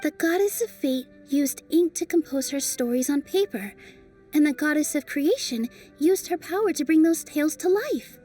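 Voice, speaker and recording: A gentle young traveler with a very high, mellow voice, earnest and soothing. She sounds a little off-mic, with soft game music underneath. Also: lively intonation, normal speed, distant mic. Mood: wondering. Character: earnest young woman traveler taken for a fairy. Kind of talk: game cutscene dub.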